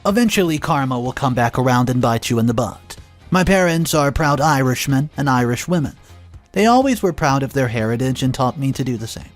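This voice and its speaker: A man narrates a first-person story in a calm, steady way, his voice sitting at an ordinary middle pitch. He reads at an unhurried pace and slips in a filler now and then. Soft music plays faintly underneath. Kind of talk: reading a first-person drama story aloud.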